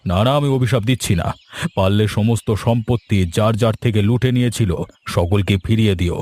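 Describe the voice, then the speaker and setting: A man's voice: lowish voice, a little fast, darkish tone, faint music behind, close to an everyday voice. A man voicing a stern village headman in a cartoon, blunt, judgmental and moralizing. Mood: stern, preachy. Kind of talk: cartoon voice acting.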